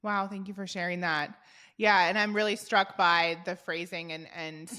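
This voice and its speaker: A woman's voice: fairly low pitch, fairly even intonation, moderate pace, sharp tone, clean tone. A woman hosting a podcast interview, asking curious, encouraging questions. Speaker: podcast host.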